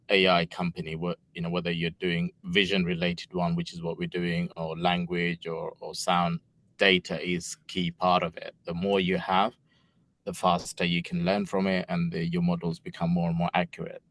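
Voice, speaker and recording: Low-voiced middle-aged founder explaining earnestly. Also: male voice, steady tone, normal speed, fairly bright tone. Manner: earnest, explanatory, with frequent restarts. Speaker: company co-founder and product head. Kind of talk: interview answers.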